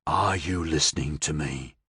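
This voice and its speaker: A man voicing a big possessed man who speaks with slow, purring, cold menace. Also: deep voice, expressive, a bit bright, breathy edge, no room sound, clean studio sound, full character voice. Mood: menacing.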